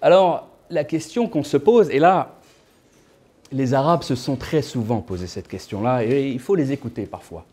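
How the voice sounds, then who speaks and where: A man in his thirties lectures to a small audience from his seat in an indoor room by a window, picked up by a camera a short way off. His voice is soft and warm. He keeps the tone loose and chatty, slipping in playful asides to the listeners. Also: mid-range voice, lively intonation, moderate pace, husky. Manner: conversational, with joking asides to the audience. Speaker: guest speaker.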